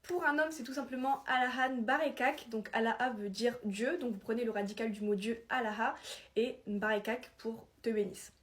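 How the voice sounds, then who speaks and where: A woman's voice: a little high, normal speed. A young woman with a slight Italian accent, casually explaining a religious topic to camera in a chatty, self-deprecating way. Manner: chatty, self-deprecating, frequent restarts and fillers.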